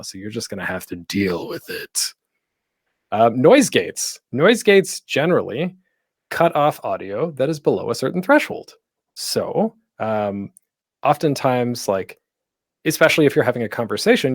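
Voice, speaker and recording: A man's voice: medium pitch, gravelly, no room sound, clean studio sound. A man presenting a tutorial in a casual, chatty way with light humor. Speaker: panel host giving a podcasting tutorial.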